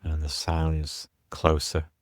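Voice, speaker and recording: A man guiding a yoga nidra meditation as a teacher, soothing and unhurried, leaving pauses between short phrases. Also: rumbling low, monotone, dry recording, clean studio sound. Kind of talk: guided meditation instruction.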